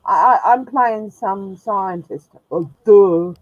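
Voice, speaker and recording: A woman's voice: medium pitch, slow, dry recording. A woman hosting a sci-fi live stream, chatting casually and laughing often, in a room with a glass roof. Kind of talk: casual chat. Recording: dull lo-fi dry sound.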